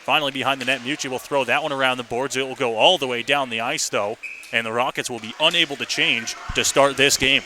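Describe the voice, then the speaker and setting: Male play-by-play announcer, very bright and driving delivery, live radio hockey call over faint arena noise. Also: mid-range voice, fairly even intonation, fast talker. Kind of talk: live radio sports commentary.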